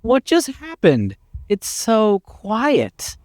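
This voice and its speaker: Slow, swooping, exasperated game narrator acted by a man. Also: sits high, huge pitch swings, full character voice. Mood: puzzled. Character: exasperated, put-upon male narrator relishing grisly description. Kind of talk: dramatic reading of a game script.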